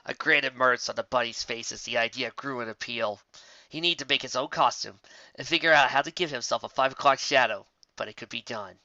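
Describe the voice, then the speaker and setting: Story narrator with casual asides: bright, forward voice, animated reading, dry and slightly dull home recording. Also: medium pitch, fairly quick, dry recording. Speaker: narrator reading fan fiction aloud.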